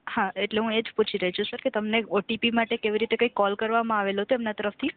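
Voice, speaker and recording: Fast-talking woman on a phone line. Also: medium pitch, level tone, no echo. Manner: formal, courteous, often checks for agreement. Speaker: customer care agent. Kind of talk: scripted, polite customer service phone talk. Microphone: telephone call.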